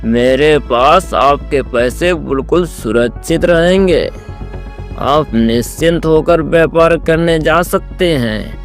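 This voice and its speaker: A man's voice: a little high, slow, soft background music. A man playing a greedy, two-faced village headman in a Hindi cartoon moral story, with a fawning, theatrical manner. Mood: smooth, reassuring.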